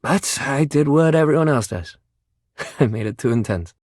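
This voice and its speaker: An actor playing an eager, upbeat sports-loving gym buddy in a video game, talking like a bro-ish coach who is anxious to please. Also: male voice, mid-range voice, expressive, moderate pace, a little mellow, no echo, studio quality, natural voice. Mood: apologetic, deflated. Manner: bro-ish, encouraging coach talk with casual slang. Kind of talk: animated video game voice acting.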